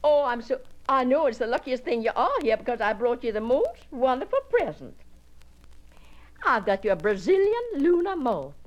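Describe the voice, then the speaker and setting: A woman's voice: high voice, very animated, normal speed, rough recording. A woman acting a breathless, gushing society woman arriving at a country house, in a solo character monologue.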